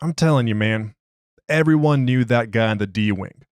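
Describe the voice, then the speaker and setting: A man's voice: mid-range voice, moderate pace, clean tone, no echo, studio quality, close to an everyday voice. A man voicing an agitated, streetwise prisoner who argues his case in slangy, ungrammatical, exasperated speech. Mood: frustrated. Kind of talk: dramatized interview log.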